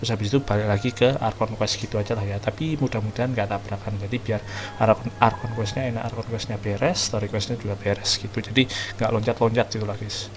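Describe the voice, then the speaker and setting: A man's voice: fairly low pitch, fairly even intonation, fast, noisy background. A young man with an Indonesian accent giving casual, chatty gameplay commentary, thinking aloud as a streamer. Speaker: gaming streamer. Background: game music and dialogue.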